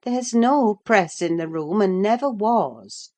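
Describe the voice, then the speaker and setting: Woman doing a no-nonsense older maid in a storybook, firm and calm, slow and mellow. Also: mid-range voice, pitched above the performer's own voice. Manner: firm and calming. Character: sensible older woman servant, firm and steady. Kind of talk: audiobook character voice.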